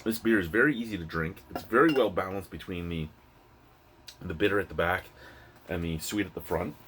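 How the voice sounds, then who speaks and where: A man's voice: sits low, fairly quick, fairly bright tone. A man from Ontario with a Canadian accent giving a casual, rambling, opinionated beer review. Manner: rambling, opinionated, occasionally profane. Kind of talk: casual unscripted product review. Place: indoor room used for recording reviews. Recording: noisy room with clear background hum.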